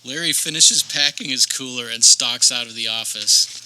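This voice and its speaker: A man's voice: medium pitch, normal speed, pushed and bright, a little hiss or hum, low-quality recording. A man playing the narrator of a comedic office radio play, reading scripted stage action. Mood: matter-of-fact.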